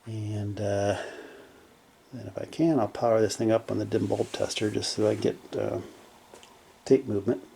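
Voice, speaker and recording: A man giving casual, unscripted narration as a hobbyist repairer at his workbench, low-key and hesitant as he thinks aloud. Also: level tone, normal speed, creaky, pauses often, mellow, breathy edge. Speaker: hobbyist electronics repairer presenting his project. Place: small indoor home workshop at a bench.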